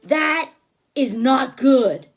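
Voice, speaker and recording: Woman reading as a kind, earnest storybook elephant, protective and indignant, slow and steady. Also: high voice, heavily acted.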